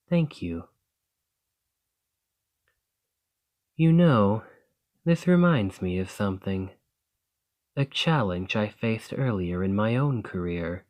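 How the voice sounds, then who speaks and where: A man playing a gracious lamia noble. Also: on the low side. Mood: grateful. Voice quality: soft, measured and formal. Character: male lamia noble merchant, calm and gracious. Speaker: boss speaking to the listener. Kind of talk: ASMR roleplay.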